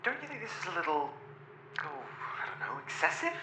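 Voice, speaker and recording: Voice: medium pitch, very animated, brisk, bright, forceful tone. A performer playing a fussy, sarcastic robot given to theatrical ranting in a science fiction audio drama. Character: sardonic, ranting, greedy, fussy robot crew member. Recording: roomy echo, faint noise.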